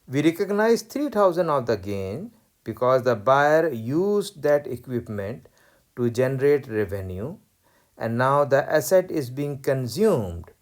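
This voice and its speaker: A man lecturing on accounting, explaining worked exercises step by step in a deliberate way and repeating key points. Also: mid-range voice, big pitch swings. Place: voice-over recorded over tablet screen.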